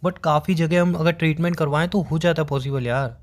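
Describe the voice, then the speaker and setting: A man's voice: fairly high pitch, fairly quick, close to an everyday voice. A young man with an Indian accent acting as a worried, devoted boyfriend in a phone-call drama, pleading and questioning insistently.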